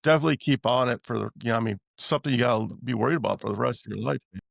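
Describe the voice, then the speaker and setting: A man's voice: mid-range voice, racing, gravelly, no echo, studio quality. A man hosting a podcast, chatting in a plain-spoken, informal conversational way. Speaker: podcast host, veteran. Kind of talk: casual conversational interview.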